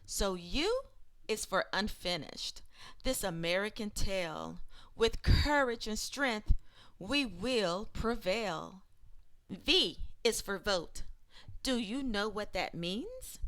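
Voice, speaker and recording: A woman with a mid-pitched voice reads in the manner of a teacher sharing a picture book. Her pace is slow and measured, and her phrasing is expressive and deliberate. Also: poor audio. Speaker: educator reading a children's book aloud. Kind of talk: expressive read-aloud of rhyming children's book.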